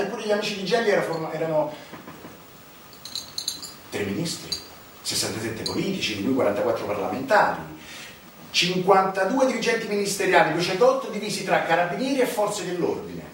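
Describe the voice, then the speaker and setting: An actor playing a wry storyteller on stage, emphatic and ironic, with dramatic pauses. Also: male voice, sits high, sing-song. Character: wry male narrator recounting a political scandal. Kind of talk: theatrical monologue on a political scandal. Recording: echoey stage, distant mic, clear noise.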